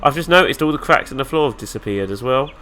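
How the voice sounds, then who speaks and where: A man's voice: mid-range voice, expressive, fast talker, pushed and bright, a little hiss or hum. A man with a nasal, flu-stuffed voice, giving casual let's-play commentary with dry, sarcastic asides. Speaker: gaming YouTuber.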